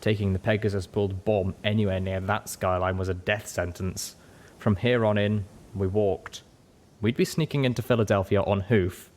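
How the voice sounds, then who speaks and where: A man's voice: fairly low pitch, fairly even intonation, normal speed, a little mellow. A man reading a scripted first-person adventure story as an audiobook narrator. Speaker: audiobook reader.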